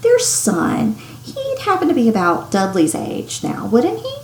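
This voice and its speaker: A woman's voice: on the high side, huge pitch swings, measured pace, a little mellow, pitched above the performer's own voice, exaggerated voice. A woman reading the part of a nervous, hesitant middle-aged husband in a storybook, faltering and mumbling, in an echoey room. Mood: feigned casual. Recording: echoey room with faint noise.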